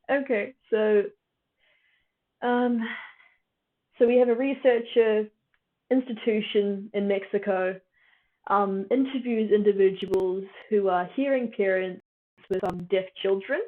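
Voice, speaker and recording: A woman's voice: mid-range voice, slow pace, long pauses. Tired young woman from New Zealand. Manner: chatty, laughs often, many fillers, answers chat as she goes. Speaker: livestream host. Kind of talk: casual chat with a live chat, summarizing a reading. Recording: phone-like thin stream audio.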